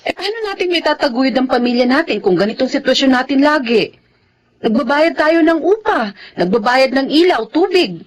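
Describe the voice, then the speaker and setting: A fed-up Filipino wife and mother in a television drama. Her high, very animated voice carries reproach and nagging, over background noise. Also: normal speed. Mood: frustrated, reproachful.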